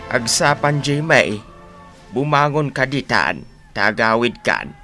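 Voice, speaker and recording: A man's voice: medium pitch, sing-song, takes it slowly, sharp tone, faint music behind, heavily acted. A man playing a stern older father in a radio drama, with a chiding manner. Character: stern older father scolding his drinking son. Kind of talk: Ilocano radio drama acting.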